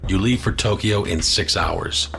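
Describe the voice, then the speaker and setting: A man's voice: deep voice, a little slow, vocal fry, music in the background. An actor playing a stern, commanding male intelligence chief in an audio drama, firm and insistent. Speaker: door chief.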